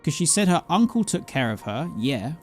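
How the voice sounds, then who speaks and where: Animated male game streamer over music. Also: a little high, normal speed. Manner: animated, self-interrupting, repeats phrases for effect. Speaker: streamer playing an online role-playing game. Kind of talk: casual game stream commentary.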